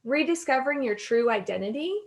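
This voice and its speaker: Animated, giggly woman podcast co-host. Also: high-pitched, brisk. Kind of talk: casual podcast banter.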